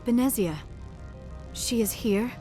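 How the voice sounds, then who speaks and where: A woman playing a gentle, earnest young alien who speaks formally and carefully. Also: a little high, takes it slowly, faint music behind. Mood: troubled. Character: gentle, earnest young female alien, conflicted and soft-spoken. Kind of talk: game cutscene dialogue.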